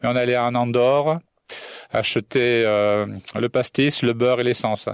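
Hesitant middle-aged poet, dry sound. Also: male voice, mid-range voice, flat delivery, measured pace, clear, resonant tone, no echo. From southern France. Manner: hesitant, many fillers, chuckles often. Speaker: guest poet and French teacher. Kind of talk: radio interview. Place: radio studio.

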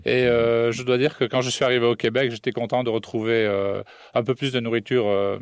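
A middle-aged man from southern France, a poet, talks on a radio show in an ordinary mid-range voice. He hesitates, restarts and laughs softly. The studio sound is dry and close. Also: mid-range voice, little pitch movement, brisk, clear, resonant tone, no room sound. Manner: hesitant, many fillers and restarts, soft laughs. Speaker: poet and French teacher. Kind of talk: radio interview. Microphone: studio mic.

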